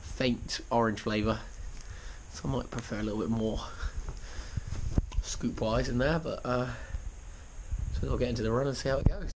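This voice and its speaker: A man giving casual asides to a handheld camera, his voice slightly croaky after exercise. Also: mid-range voice, fairly even intonation, normal speed, long pauses. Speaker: vlogger. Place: home room and outdoors. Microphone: handheld action camera. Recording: noisy action-camera sound.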